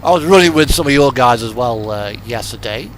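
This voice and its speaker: A man's voice: medium pitch, lively intonation, brisk, a bit bright, a little hiss or hum. A man giving casual live commentary as he streams a game, chatting and thinking aloud.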